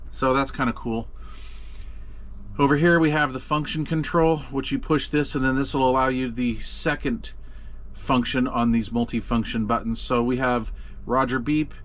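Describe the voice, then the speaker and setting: A man talks through the front panel of a radio in an easygoing, unscripted way. He pauses often with ums and uhs, and his voice sits in an ordinary middle pitch. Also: slight background noise. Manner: relaxed, chatty, frequent ums and uhs. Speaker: radio reviewer. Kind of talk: casual product walkthrough.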